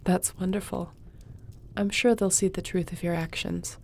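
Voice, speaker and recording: A woman playing a loyal court sorceress in a fantasy audio roleplay, speaking in a measured, formal, gently supportive way. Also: fairly low pitch, level tone, slight background noise, lightly acted. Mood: composed, supportive. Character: loyal, composed court sorceress advising her king.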